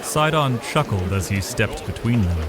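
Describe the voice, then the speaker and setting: A man's voice: on the low side, animated, normal speed, music in the background. A man giving dramatic scripted narration of a fantasy adventure. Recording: noisy ambience behind the voice.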